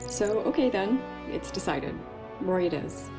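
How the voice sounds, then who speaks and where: A woman's voice: mid-range voice, animated, unhurried, vocal fry, over music. An actress playing a playful, sarcastic female research scientist who teases her colleagues in an audio story. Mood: teasing. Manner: teasing. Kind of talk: audio story character acting.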